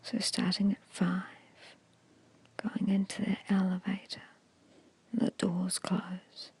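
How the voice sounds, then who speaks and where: A woman guiding an ASMR meditation in a hushed, soothing, breathy whisper with short, measured phrases. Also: mid-range voice, monotone. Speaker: hypnosis and meditation guide.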